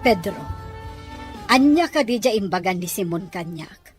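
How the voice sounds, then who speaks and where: A woman's voice: medium pitch, lively intonation, a little slow, soft background music, natural voice. A woman acting a concerned wife in a radio drama, with an Ilocano accent. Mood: confronting, worried. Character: Mirna, concerned wife confronting Pedro about boundary.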